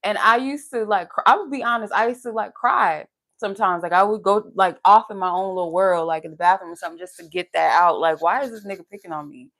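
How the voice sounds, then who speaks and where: A woman's voice: medium pitch, fast, few pauses, fairly bright tone. A young adult woman with a soothing, pleasant voice, chatting casually and candidly with profanity and laughter on a live stream as a tarot reader. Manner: laughs while talking.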